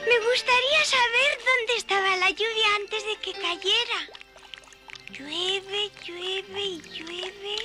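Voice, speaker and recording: Spirited little cartoon girl bee, super high childlike voice, nosy, loud music behind. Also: slow pace. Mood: curious. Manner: inquisitive, exclaiming, childlike. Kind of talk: cartoon dub acting.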